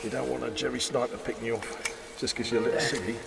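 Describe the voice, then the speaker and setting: An actor playing a gruff, fatherly army sergeant with a British accent and a gravelly voice, in war drama dialogue. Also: medium pitch, flat delivery, fast talker, heavy background noise, natural voice. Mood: gruff, watchful. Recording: distant from mic, loud music behind. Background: loud music.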